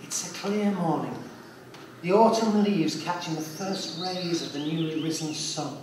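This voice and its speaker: A man narrating a scripted dramatic story live, in a measured, deliberate way. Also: a little high. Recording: distant, very echoey hall, clear noise.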